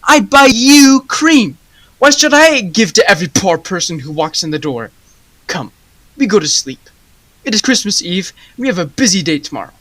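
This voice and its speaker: A teenage actress playing a proud, stingy male baker in a high school radio play, with an emphatic, scolding delivery. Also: big pitch swings, moderate pace, a bit bright. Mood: irritated, bossy.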